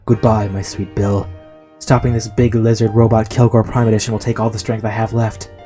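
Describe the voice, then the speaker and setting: Kindly heroic cheetah gentleman, played by a male narrator: deep gravel, polite stately delivery, storybook style over music. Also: little pitch movement, fairly quick, lightly acted. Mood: solemn, tender.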